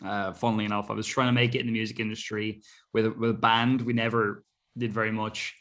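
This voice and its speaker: A man's voice: on the low side, little pitch movement, fast talker, fairly bright tone. Irish man in his thirties, conversational. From Ireland. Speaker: interview guest, entrepreneur. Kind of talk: casual conversation.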